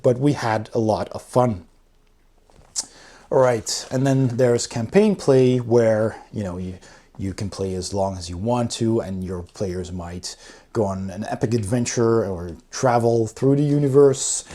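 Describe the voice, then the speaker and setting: Mellow-voiced man giving casual review. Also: medium pitch. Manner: enthusiastic, informal, explanatory. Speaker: board game reviewer. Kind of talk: casual unscripted review commentary.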